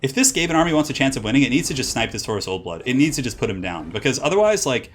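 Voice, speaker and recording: A man's voice: medium pitch, very animated, rapid-fire, a little hiss or hum. A young man from California casting a strategy game match and chatting with his stream's chat, rambling with lots of "like" and false starts. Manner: rambling, filler-heavy with many "like"s. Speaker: streamer and caster. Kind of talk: casual game commentary with chat.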